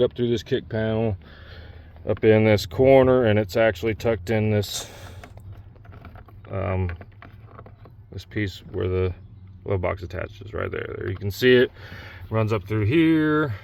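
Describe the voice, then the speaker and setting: A man's voice: lowish voice, a little mellow, clear, resonant tone. A man giving a casual, unscripted DIY walkthrough, talking plainly and pointing things out as he works. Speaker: DIY vlogger demonstrating a truck CB radio install.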